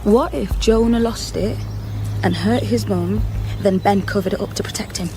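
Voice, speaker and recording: An actress playing a guarded undercover policewoman, measured and questioning, in a naturalistic radio drama. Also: medium pitch, dark tone, faint music behind, natural voice. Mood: thoughtful, calculating. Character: guarded undercover policewoman posing as a nanny, probing.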